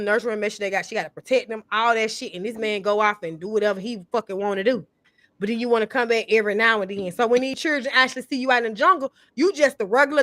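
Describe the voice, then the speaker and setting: A woman's voice: fairly high pitch, sharp tone, dry recording. A woman in her thirties chatting casually on a panel, blunt and emphatic, with a lot of swearing. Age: 30s. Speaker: podcast panelist. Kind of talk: casual chat.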